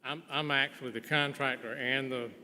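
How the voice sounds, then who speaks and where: A man gives informal testimony at a public hearing in a bright, forward voice. He speaks haltingly, pausing mid-sentence, and the big meeting room rings around him over steady noise. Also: mid-range voice. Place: large indoor meeting room. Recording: echoey meeting hall with clear background noise.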